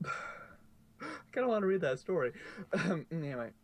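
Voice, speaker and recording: A man's voice: a little high, sing-song, measured pace. A man narrating fan fiction as an amateur story reader.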